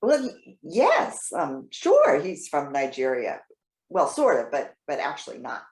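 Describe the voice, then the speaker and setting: A woman playing a trusting, excitable elderly widow in readers theater, talking in a chatty, halting, earnest way. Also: low voice, gravelly, heavily acted. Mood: flustered. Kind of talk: readers theater stage acting. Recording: roomy, echoing sound.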